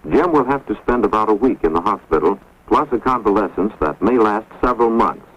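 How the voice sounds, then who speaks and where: Man, low voice, husky, measured authoritative narration, lo-fi 1950s film soundtrack. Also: lively intonation, a bit bright. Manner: measured, grave, authoritative delivery. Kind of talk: scripted educational narration.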